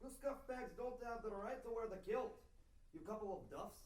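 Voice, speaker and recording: An aggressive drunken Scot for a comedy script reading, with a Scottish accent and a high-pitched voice. He sounds off-mic in an echoey room. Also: animated, moderate pace, a little mellow. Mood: belligerent. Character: drunk, belligerent Scottish man, insulting and aggressive. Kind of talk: comedy screenplay table read. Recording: roomy, distant, with background noise.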